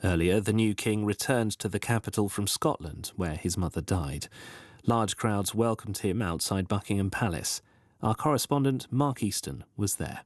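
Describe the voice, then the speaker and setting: A man's voice: lowish voice, moderate pace, no room sound, studio quality. A man presenting a news bulletin, reading from a script.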